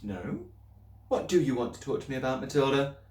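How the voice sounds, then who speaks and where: A man reading aloud and voicing a kind young woman schoolteacher who speaks gently and patiently. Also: medium pitch, animated, a little fast, pitched above the performer's own voice, natural voice. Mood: gentle. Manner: gentle, patient, softly questioning. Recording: roomy, distant mic.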